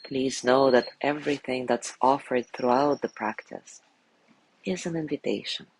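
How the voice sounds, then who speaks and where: A man guiding a sleep meditation in a gentle, soothing way, softly spoken and unhurried, with long pauses between phrases. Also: medium pitch, slight background noise, rough recording. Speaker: meditation guide.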